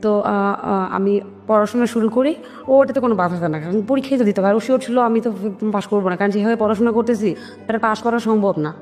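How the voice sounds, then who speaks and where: A woman's voice: medium pitch, quick pace, darkish tone. A woman with a Bangladeshi accent telling her life story in an interview, speaking earnestly and emphatically and acting out remembered dialogue. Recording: long reverb, music behind. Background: music.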